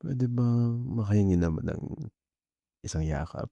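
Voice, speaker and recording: A man with a Filipino accent playing a jealous, clingy, soft-hearted boyfriend in an intimate ASMR roleplay, speaking softly and hesitantly in a mix of two languages. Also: low-pitched, expressive, normal speed, creaky, no room sound, highs cut off, full character voice. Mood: tender, bashful. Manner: soft, hesitant, Taglish code-switching.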